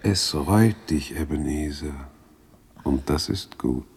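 Very deep, husky spirit guide. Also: male voice, a little mellow, heavily acted. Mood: grave, probing. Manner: slow, measured, solemn. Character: solemn male spirit guide, grave, knowing, commanding. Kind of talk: 1960s German radio drama acting.

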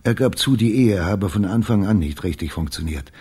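An actor playing an evasive, self-justifying agency boss in a radio crime drama, measured and defensive. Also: male voice, lowish voice, animated, darkish tone, breathy edge. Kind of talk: German radio play acting.

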